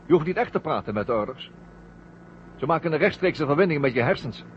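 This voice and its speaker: Casting: male actor as excitable space crewmate, lively slightly high voice, vintage radio drama with noticeable hiss. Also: quick pace, lightly acted. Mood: urgent, hushed awe. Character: male astronaut crewmate, excitable, eager, secretive. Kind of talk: 1970 Dutch science fiction radio drama acting. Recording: muffled, noisy old radio play recording.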